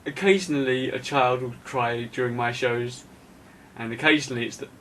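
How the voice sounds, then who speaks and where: A man's voice: medium pitch, steady tone, normal speed, a bit bright. Chatty man, mic at a distance. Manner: chatty, laughs along, storytelling. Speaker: podcast host, children's party entertainer. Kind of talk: casual chat. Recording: distant mic with faint room noise.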